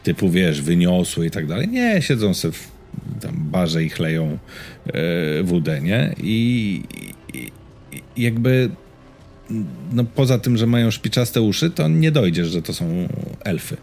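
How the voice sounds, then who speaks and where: A man's voice: deep voice, big pitch swings, measured pace, a little mellow. A man chatting casually as a podcast co-host about games and series, in an informal, slangy, rambling storytelling way.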